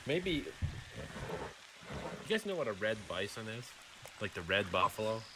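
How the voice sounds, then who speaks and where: Guy doing a boozy shady western doctor, rambles and stumbles, pitch all over, noisy game chat. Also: big pitch swings. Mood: hesitant, bargaining. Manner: halting, rambling, repeats himself. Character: hard-drinking, scheming frontier doctor offering shady jobs. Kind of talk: improvised western roleplay in character.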